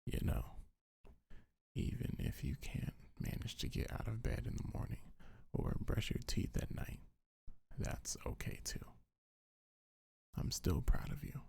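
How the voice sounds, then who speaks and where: A man speaking gentle, soothing ASMR affirmations in a breathy, husky half-whisper, unhurried and close to the microphone. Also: fairly low pitch, huge pitch swings. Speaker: ASMR creator. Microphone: close microphone, dry.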